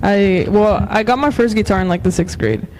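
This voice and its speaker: A woman's voice: medium pitch, moderate pace, a little mellow, a little hiss or hum, poor audio. A young woman from Los Angeles, a musician guest, chatting casually with many fillers and frequent laughs. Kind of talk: casual interview chat.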